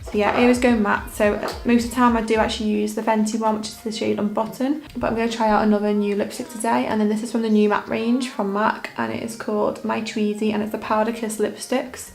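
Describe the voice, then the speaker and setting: A young woman makeup artist talking through a casual makeup tutorial in a hoarse, croaky voice, her delivery relaxed and monotone with plenty of fillers. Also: mid-range voice, fast talker, hardly any pauses. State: croaky, hoarse voice after illness. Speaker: makeup artist and beauty vlogger.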